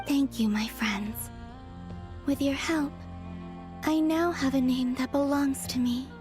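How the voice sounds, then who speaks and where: High, soft, unhurried moon maiden. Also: female voice. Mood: serene. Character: gentle, serene young moon maiden, softly earnest. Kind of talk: anime game voice acting. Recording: music behind the voice.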